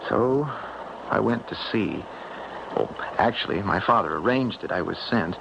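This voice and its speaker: An actor playing an earnest, lovelorn young sailor with a halting, hesitant delivery in a radio drama. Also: male voice, on the low side, lively intonation, vocal fry. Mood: earnest, recounting. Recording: muffled noisy 1970s radio broadcast.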